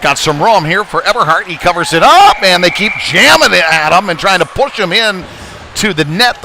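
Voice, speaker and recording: A man doing live play-by-play hockey commentary with folksy, hesitant phrasing from inside an ice arena. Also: mid-range voice. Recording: live rink broadcast with loud background noise. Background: loud arena ambience.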